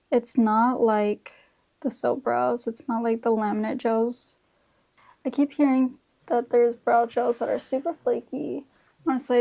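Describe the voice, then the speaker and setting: Female vlogger, mid 20s. Higher-pitched, mellow voice with fry, informal and chatty. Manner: informal, slangy speech with frequent "like". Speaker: beauty vlogger. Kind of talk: casual chatty makeup tutorial.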